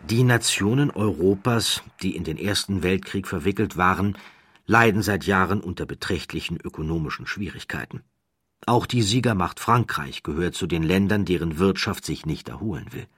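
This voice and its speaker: Male audiobook narrator: low, slightly husky, measured and dramatic, recorded dry. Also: expressive, a bit bright, no room sound. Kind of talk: scripted narration of a historical true story.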